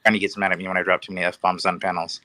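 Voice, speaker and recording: A man's voice: sits low, level tone, very fast, bright, forceful tone, no room sound. A man speaking as a panelist in a casual discussion, giving his opinions emphatically.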